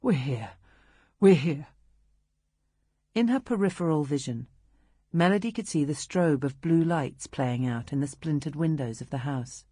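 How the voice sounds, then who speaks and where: A British woman reading literary narration as an audiobook narrator, in a measured, expressive storytelling manner. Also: low-pitched, no room sound, studio quality.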